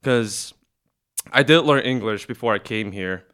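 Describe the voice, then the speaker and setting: Young man, smooth clear voice. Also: medium pitch, measured pace, a bit bright. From South Korea. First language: Korean. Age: young adult. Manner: hesitant, many restarts and fillers, laughs often. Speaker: guest. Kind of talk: casual chat.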